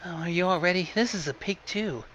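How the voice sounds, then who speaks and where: A timid teenage girl, played by a man reading fan fiction, speaks softly and haltingly. The voice is slightly high and hesitant, with faint noise behind it. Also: dull audio. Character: meek, hesitant, soft-spoken teenage girl.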